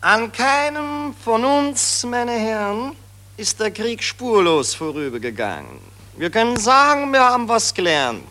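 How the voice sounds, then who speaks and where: A pompous old-school Austrian general in a satirical radio play. His speech rambles and repeats itself complacently, in an Austrian accent, with pitch that swings widely from phrase to phrase. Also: big pitch swings, a little slow, sharp tone, full character voice. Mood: self-satisfied.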